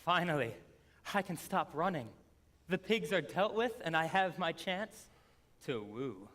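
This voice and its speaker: A man's voice: fairly high pitch, animated, a little slow, fairly bright tone, a little hiss or hum. A man playing an indignant, lovestruck wolf in a stage fairy-tale comedy, defensive and argumentative. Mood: eager, flustered. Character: indignant misunderstood wolf, lovestruck horticulture professor. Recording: live school stage recording.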